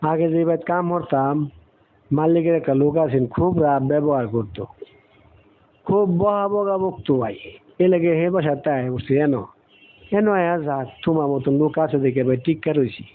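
A man's voice: a little high, moderate pace, a little hiss or hum, natural voice. A man playing a weary, humble father in service, with a rural Bangladeshi accent and a plaintive, deferential manner in a cartoon. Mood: grateful, plaintive. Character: weary, humble village father working as house servant. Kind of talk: cartoon dialogue. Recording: thin phone-like audio.

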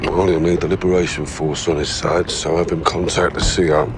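A man's voice: low voice, flat delivery, fairly quick, very noisy, natural voice. An actor playing a hardened special forces captain, clipped and laconic in his delivery. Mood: gruff, commanding.

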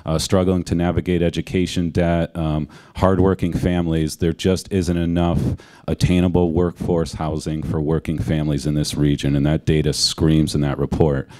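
A man's voice: very deep voice, flat delivery, moderate pace, few pauses, dark tone. A man speaking as a panelist at a community event, urging and pleading with the audience. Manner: urgent, pleading. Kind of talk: panel discussion answer. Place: auditorium stage panel.

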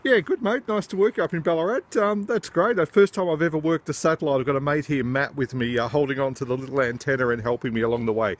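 A man's voice: a little high, fast, few pauses. A man in his forties with an Australian accent, making amateur radio contacts and chatting casually. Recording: noisy handheld phone video, loud background hiss.

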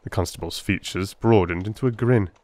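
A man's voice: low voice, a little fast, darkish tone, no room sound. A man reading scripted narration for a dramatic audiobook in a theatrical storytelling style.

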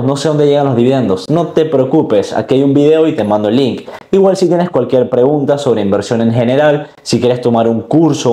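A man's voice: mid-range voice, mellow, roomy sound. A man, hosting a beginners' explainer in a conversational, step-by-step way, addressing the listener directly. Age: 20s. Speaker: finance video host. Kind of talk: explanatory talk to camera for beginners. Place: bright high-rise room with large window. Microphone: lapel mic.

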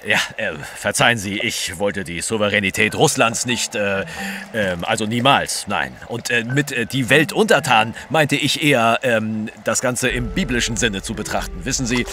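Wichtigtuerischer Brite, eher tief. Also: male voice, on the low side, moderate pace, pushed and bright, soft background music. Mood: flustered, apologetic. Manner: pompous, wordy. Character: pompous British gentleman, easily flustered. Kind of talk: audio drama acting.

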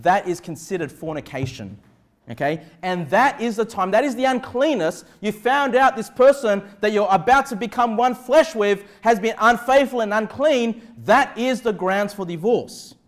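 A middle-aged man with an Australian accent delivers a sermon in a high-pitched voice. He speaks as a pastor, emphatic and conversational, frequently tagging his points to check listeners are following. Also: lively intonation, normal speed. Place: indoor church pulpit in a small room. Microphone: clip-on lapel mic.

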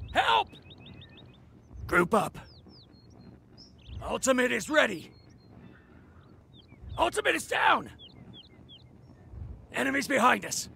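An actor voicing a cynical, laid-back male huntsman with a raspy voice and wry humour for a video game. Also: sits high, pushed and bright, full character voice. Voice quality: gravelly, raspy.

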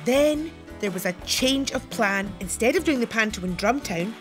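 A woman with a Scottish accent playing a warm-hearted granny childminder, telling things in a sing-song children's show style. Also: fairly high pitch, expressive, normal speed, lightly acted. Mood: storytelling. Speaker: childminder narrator. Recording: music behind the voice.

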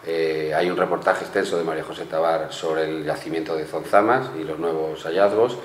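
A man, a journalist summing up news stories in a small studio, measured with small restarts. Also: rumbling low. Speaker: newspaper journalist. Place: small studio with blue backdrop. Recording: echoey studio room, faint hum.

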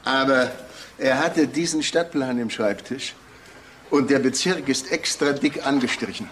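Measured police detective played by a man, mid-pitched. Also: fairly bright tone, a little hiss or hum, natural voice. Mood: reporting. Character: calm, matter-of-fact male police detective. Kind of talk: German TV crime drama acting.

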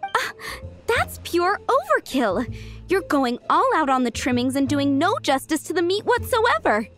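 A woman voicing a proud, opinionated village cook in a video game, brisk and assertive. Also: high-pitched, big pitch swings, fairly bright tone, heavily acted. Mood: argumentative. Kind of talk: acted video game dialogue. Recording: faint game music underneath.

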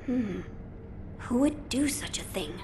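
A woman playing a young noble boy lord in a video game, formal, measured and pensive. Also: fairly high pitch, expressive, noisy background. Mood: grave, pensive.

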